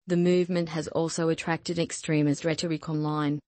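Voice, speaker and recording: Flat, low-voiced woman reading news. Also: normal speed, no room sound. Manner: even, flat newsreader delivery. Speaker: news reader. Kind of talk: scripted news narration.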